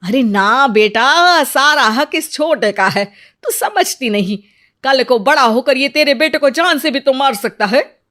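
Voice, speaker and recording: Woman as a slow-talking, high-voiced fretful grandmother. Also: lively intonation, a bit bright. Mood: scolding, scheming. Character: worried, scheming older mother and grandmother. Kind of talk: cartoon moral story acting.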